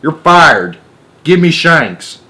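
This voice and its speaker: An irate mission controller from a storybook, played by a man reading aloud. He snaps and barks his orders in a loud, heightened voice, bossy and commanding. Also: on the high side, sing-song, takes it slowly, voice pushed up, full character voice. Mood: furious. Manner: barking, snapping, commanding.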